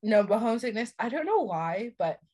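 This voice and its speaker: A woman's voice: on the high side, fairly quick. A young woman co-hosting a podcast, chatting casually and telling self-deprecating stories with energy. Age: young adult. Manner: lively, self-deprecating storytelling. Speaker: podcast co-host. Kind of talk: casual chat.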